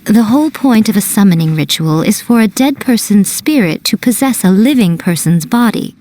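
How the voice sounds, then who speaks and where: A woman voicing a sly fox-spirit shrine priestess in a game dub, smooth, playful and teasing. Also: medium pitch, animated, normal speed, warm tone, natural voice.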